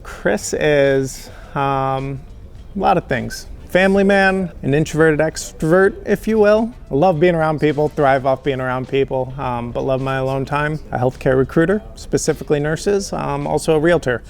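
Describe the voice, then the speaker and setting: Relaxed friendly man answering interview questions. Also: on the high side, moderate pace, vocal fry, faint music behind. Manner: friendly, relaxed, frequent ums and light laughs. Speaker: healthcare recruiter, interview guest.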